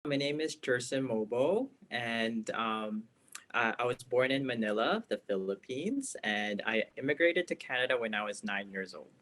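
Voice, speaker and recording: A man who is a family physician, recounting his childhood in a measured way with a few fillers. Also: mid-range voice, animated, fairly bright tone. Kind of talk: casual interview storytelling.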